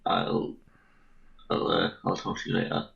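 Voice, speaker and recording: A man's voice: deep voice, completely flat delivery, unhurried, gravelly, a bit bright. A man playing a tabletop roleplaying game, casually narrating and speaking in character, on a muffled microphone. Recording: dull, muffled microphone sound.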